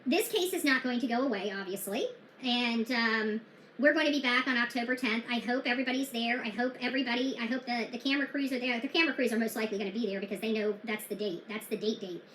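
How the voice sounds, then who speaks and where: A high-voiced woman talks straight to her viewers about true crime. She is blunt and defiant, full of fillers and restarts, and her bright voice rings in an echoey room. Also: fast talker, slight background noise. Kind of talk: casual talk to viewers.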